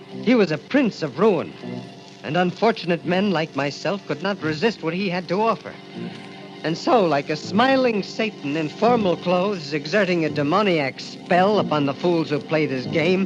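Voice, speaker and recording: A man's voice: a little high, close to an everyday voice, low-quality recording. An actor playing an anguished, guilt-ridden young man, narrating and speaking with dramatic intensity in the style of a 1940s radio mystery. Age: young adult. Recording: old radio recording with music behind.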